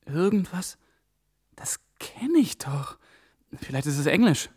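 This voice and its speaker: A man's voice: a little high, sing-song, takes it slowly, darkish tone, husky, heavily acted. A man playing an eager, urgent young man in a German radio drama. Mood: puzzled, intent. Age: young adult. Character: excitable, ambitious young German man piecing clues together.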